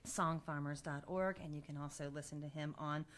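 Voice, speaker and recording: Bright-voiced woman co-hosting a live show. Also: low voice, steady tone, a little fast. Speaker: podcast co-host. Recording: live room with audience noise. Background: audience.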